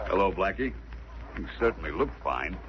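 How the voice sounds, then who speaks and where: An actor playing a courteous, suave but troubled construction boss in 1940s radio style. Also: male voice, sits low, big pitch swings, moderate pace. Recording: dull, hissy old radio recording.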